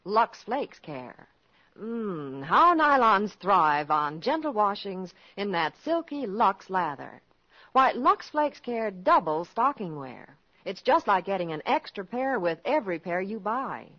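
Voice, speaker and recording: A woman's voice: medium pitch, big pitch swings, slow, pushed and bright, lo-fi sound. A woman reading a scripted 1950s radio commercial on a vintage recording. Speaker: commercial spokeswoman. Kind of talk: 1950s radio advertising read.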